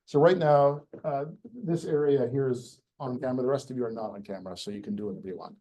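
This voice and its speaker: A man hosts a live pitch session in a crowded room and talks in a chatty, rambling way, often restarting his sentences. His voice is dark and mellow at an average pitch. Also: quick pace. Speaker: event host.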